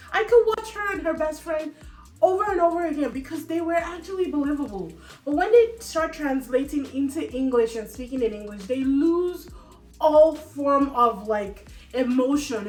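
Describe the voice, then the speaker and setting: Woman host, movie reviews, fairly high voice, reverberant room with soft music under it. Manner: chatty and animated, laughs often. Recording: echoey room with faint music.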